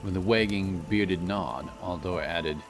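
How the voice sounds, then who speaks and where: Deep-voiced man narrating over music. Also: measured pace. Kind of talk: scripted fantasy story narration.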